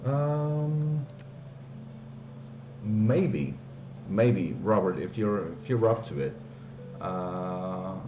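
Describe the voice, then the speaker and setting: Flemish man with a deep voice. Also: echoey room. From Belgium, Flemish part. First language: Dutch. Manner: hesitant, many fillers and restarts. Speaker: streamer. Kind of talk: casual live-stream walkthrough.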